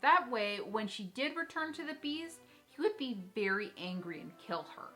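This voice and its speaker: A woman hosting a video, telling a story and commenting in a casual, expressive, chatty way. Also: fairly high pitch, moderate pace. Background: background music.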